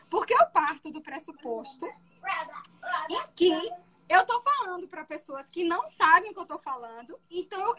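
A woman defense lawyer with a soft voice, explaining her courtroom methods in an interview. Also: extremely high pitch.

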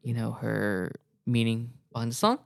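A man's voice: medium pitch, steady tone, moderate pace, vocal fry, dry recording, studio quality. An Irish man co-hosting a podcast, chatting casually with many fillers and frequent laughs. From Ireland. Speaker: co-host.

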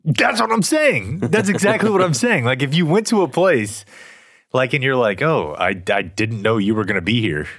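A man's voice: medium pitch, lively intonation, normal speed, a bit bright, dry recording, studio quality. A man co-hosting a podcast, talking loosely with swearing and trailing restarts. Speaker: podcast co-host. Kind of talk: casual chat.

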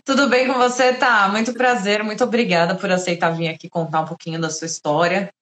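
A woman's voice: medium pitch, steady tone, a little fast, fairly bright tone, roomy sound, a little hiss or hum. A woman in her thirties hosting a live stream, interviewing a guest in a friendly, enthusiastic way. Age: 30s.

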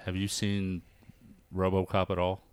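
A man's voice: low voice, monotone, measured pace, clear, resonant tone, no room sound. A man co-hosting a casual family chat, joking and rambling with frequent restarts. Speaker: podcast co-host.

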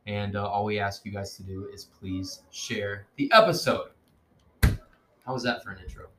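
A man's voice: lowish voice, normal speed, noisy background. A young man hosting a casual podcast, upbeat and joking, with plenty of fillers. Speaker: podcast host.

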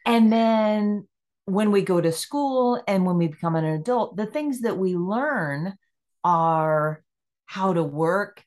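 A woman's voice: mid-range voice, takes it slowly, a little mellow. A middle-aged woman speaking as a podcast guest, reflective and easy to laugh, voicing her own inner questions as she talks. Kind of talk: conversational interview.

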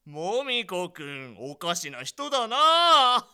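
Bright, high-voiced pompous company boss. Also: male voice, big pitch swings, slow pace, clear, resonant tone, heavily acted. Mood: amused, oblivious. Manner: pompous, avuncular, cheerfully oblivious. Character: jovial, oblivious, pompous older male company president. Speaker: voice actor. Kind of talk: comic radio drama acting.

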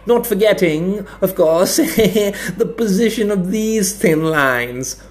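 A man's voice: sits high, expressive, takes it slowly, pitched above the performer's own voice. A man reading an audiobook, voicing a stout, bespectacled academic who lectures smugly and stammers when caught out. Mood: smug lecturing. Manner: prim, self-satisfied lecturing, stammers when flustered. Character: stout bespectacled male academic mapmaker, pompous. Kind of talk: audiobook character voice.

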